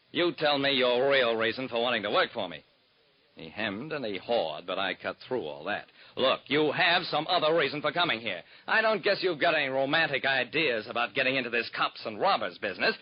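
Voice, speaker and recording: A man's voice: medium pitch, sing-song, normal speed. An actor playing a private detective who narrates his own cases in wry, hard-boiled first person, in 1940s radio drama style, on a dull lo-fi old recording.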